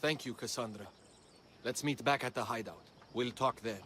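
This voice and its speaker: A man's voice: mid-range voice, moderate pace, fairly bright tone, slight background noise, close to an everyday voice. A man playing an earnest, guilt-ridden young fugitive in a video game cutscene. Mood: regretful.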